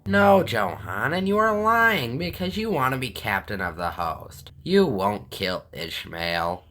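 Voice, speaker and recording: An actor playing a stubborn, trusting governor in a Bible audio drama, speaking with authority. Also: male voice, medium pitch, sing-song, measured pace, pushed and bright. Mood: dismissive. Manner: authoritative, dismissive of warnings. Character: trusting, stubborn male governor giving orders.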